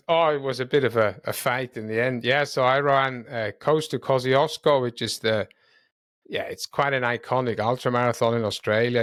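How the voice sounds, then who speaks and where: A man's voice: mid-range voice, a bit bright, no echo, studio quality. Upbeat man explaining at length. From Switzerland and South Africa. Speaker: podcast guest, running coach. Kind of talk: casual interview chat.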